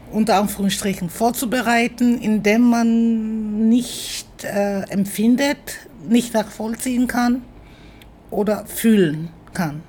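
A middle-aged woman speaks in a very slow, deliberate way, pausing often to search for words. She sounds thoughtful and reflective as she answers interview questions about her work as an artist. A faint hiss sits under her voice. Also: medium pitch, darkish tone. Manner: slow, hesitant, many filler sounds, thoughtful. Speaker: performance artist and activist.

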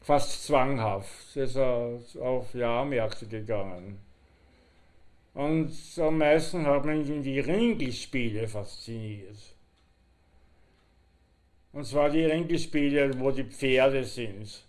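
A male actor plays a reflective man reminiscing in a radio play. He speaks very slowly and deliberately at a medium pitch, with halting breaks between phrases. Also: darkish tone, natural voice. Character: reflective man recalling a friend's odd obsession.

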